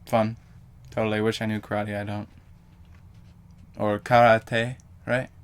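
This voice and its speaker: A young man with a fairly low voice talks straight to his camera in a loose, rambling vlog. He trips over his own words, corrects himself and fills gaps with ums and likes. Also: little pitch movement, unhurried, smooth. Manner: rambling, self-correcting, lots of fillers. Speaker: vlogger.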